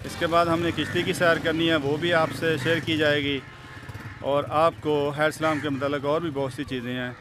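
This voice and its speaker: A man's voice: on the high side, little pitch movement, moderate pace, noisy background. A man from Pakistan, narrating a travel vlog outdoors and chatting to viewers as friends. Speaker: travel vlogger. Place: outdoors by roads and a river.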